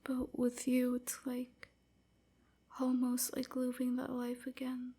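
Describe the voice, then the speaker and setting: Breathy whispering vampire slave girl, played by a woman. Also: sits high, monotone, full character voice. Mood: wistful, shy. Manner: slow, halting, hushed confiding. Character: gentle, wounded female vampire slave, submissive and grateful. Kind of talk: whispered intimate ASMR roleplay.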